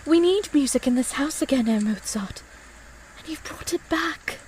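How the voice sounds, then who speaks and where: A woman plays a genteel young lady in a period audio drama, her voice pitched high. She is tender and sincere, with polite, formal, old-fashioned phrasing. Some noise sits behind her. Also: animated, normal speed, darkish tone, poor audio. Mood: wistful.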